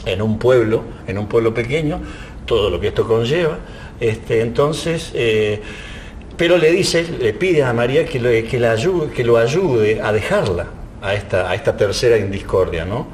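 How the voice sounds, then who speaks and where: A man's voice: lively intonation, moderate pace, a little mellow. A man explaining things reflectively in an interview, searching for words and adding little tag questions. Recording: low rumbling background noise.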